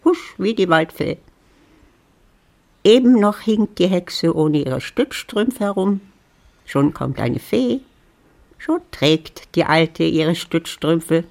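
An elderly widow with a low, creaky voice. She muses to herself in a wry, grumbling way, lonely and set in her ways, as in a literary radio play. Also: animated, slow pace, long pauses, darkish tone, exaggerated voice. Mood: grumbling, musing. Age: older. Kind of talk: German literary radio drama acting.

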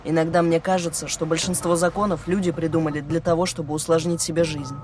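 A man playing a reserved, determined teenage boy who talks calmly and tersely. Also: on the high side, quick pace, slight background noise, close to an everyday voice. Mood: questioning. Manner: calm, terse. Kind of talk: audio drama acting.

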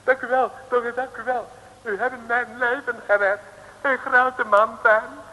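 An actor playing an excitable foreign official who speaks broken Dutch and gushes with praise, in a 1950s radio comedy. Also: male voice, very high-pitched, a little slow, exaggerated voice. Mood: gushing. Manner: broken grammar, gushing praise. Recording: old radio recording, long reverb.

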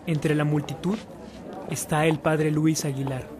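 Higher-voiced male narrator. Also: level tone, measured pace, noisy background. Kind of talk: scripted radio drama narration.

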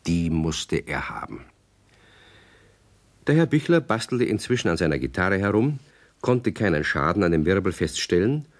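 A man narrating a children's radio play as a storyteller, expressive and with a sense of suspense. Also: low-pitched.

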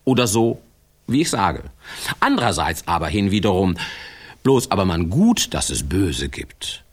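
An actor playing a quirky fairy-tale storyteller in a children's radio play, rambling and talking straight to the listener in a whimsical way. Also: male voice, deep voice, big pitch swings, a little slow, full character voice. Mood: musing. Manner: whimsical, digressive, addressing the listener directly.

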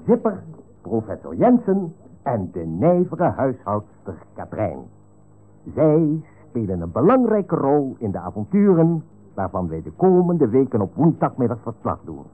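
A man's voice: medium pitch, wildly swooping pitch, unhurried, slightly rough. A man narrating a radio drama from a script. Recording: tinny narrow-band radio recording with music.

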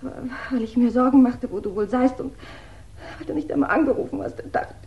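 A woman's voice: sits high, moderate pace, a little hiss or hum. An actress playing a jealous, wounded woman with pointed sarcasm in a 1960s German radio crime play. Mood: hurt. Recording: dull 1960s radio recording.

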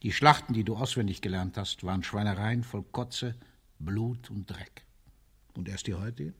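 An actor playing a world-weary, cynical military ruler in a radio drama, speaking in a bitter, deliberate, rhetorical way. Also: male voice, lowish voice, a bit bright, husky. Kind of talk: theatrical radio drama monologue.

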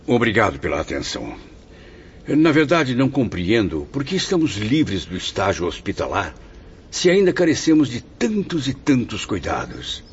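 Earnest, highly expressive troubled man. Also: moderate pace. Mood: anxious, hesitant. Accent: Brazilian. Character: anxious, educated male patient, a suffering spirit writer. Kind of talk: radio drama acting. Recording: muffled, faintly noisy radio drama recording.

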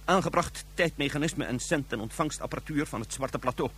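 A man's voice: a little high, fairly quick, fairly bright tone, a little hiss or hum, lightly acted. A man acting a nervous, panicky secret agent in a radio drama, stammering and flustered. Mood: flustered, dutiful. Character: nervous, panicky male secret agent cracking under pressure.

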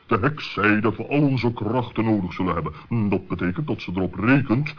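A man's voice: on the low side, animated, moderate pace, husky. Pompous owl played by a man, muffled recording. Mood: pondering. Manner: pompous, wordy, hemming. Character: male owl, pompous, greedy, easily offended. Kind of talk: 1960s children's radio play acting. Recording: dull old radio play recording.

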